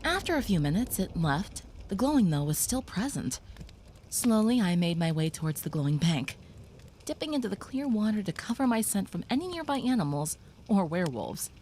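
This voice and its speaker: A woman voicing a gentle, protective young female vampire in an audio roleplay, speaking softly and reassuringly, sometimes whispering. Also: mid-range voice, big pitch swings, moderate pace, slight background noise, natural voice.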